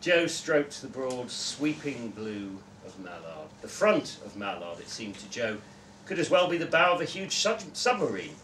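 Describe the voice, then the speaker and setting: A man's voice: mid-range voice, sing-song, measured pace, a bit bright. A young man giving a live reading of a short story, narrating it to a room. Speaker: story reader at a literary festival. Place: indoor room before a live audience, recorded from several metres. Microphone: distant camera microphone. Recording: roomy echo, distant camera mic, faint noise.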